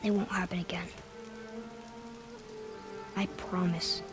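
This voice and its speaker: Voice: mid-range voice, flat delivery, slow pace, music in the background, natural voice. A young performer playing a curious, earnest boy in a cinematic game, with an inquisitive, slightly hesitant manner. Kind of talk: naturalistic cinematic game acting.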